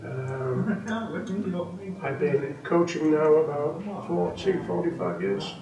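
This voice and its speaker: A man's voice: a little high, takes it slowly, crowd noise. A man in his eighties whose first language is Welsh, an experienced snooker coach and referee looking back on his career in an interview, talking unhurriedly with many ums and restarts. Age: 80s. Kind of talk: interview, reminiscing. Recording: echoey room, distant mic, background noise.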